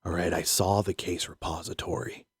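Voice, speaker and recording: A man's voice: low-pitched, little pitch movement, normal speed, vocal fry, slightly rough, no room sound, exaggerated voice. A man voicing a stern, weary police officer who lectures and warns in short, pointed sentences in an audio drama. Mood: stern warning.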